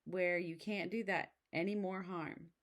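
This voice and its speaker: A woman voicing urgent, excitable firemen from a children's storybook, calling out. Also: lowish voice, unhurried, natural voice.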